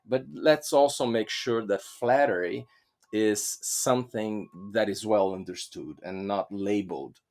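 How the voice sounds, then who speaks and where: Man teaching, halting and thoughtful. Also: lowish voice, a little mellow. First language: Portuguese. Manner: thoughtful, halting, with many restarts and fillers. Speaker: podcast co-host, Enneagram teacher. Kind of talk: conversational teaching talk.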